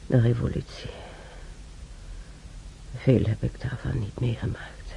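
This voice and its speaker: Tired ailing storyteller in a radio play, super gravelly and dark, mumbles and sighs, faint noise. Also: male voice, mid-range voice, fairly even intonation. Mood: musing, weary. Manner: halting, sighing, muttering, often near whisper. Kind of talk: intimate radio drama monologue.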